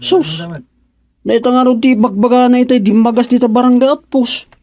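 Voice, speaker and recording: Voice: mid-range voice, steady tone, fairly quick, a little mellow, no echo, lightly acted. An actor playing a gossipy, complaining village colleague in an Ilocano radio comedy drama, exclaiming often, with an Ilocano accent. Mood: disapproving.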